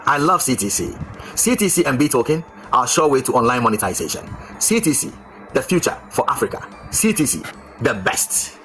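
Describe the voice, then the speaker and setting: Man from Ghana, bright and animated, enthusiastic slogans. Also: mid-range voice, fairly quick, slight background noise. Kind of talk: video testimonial.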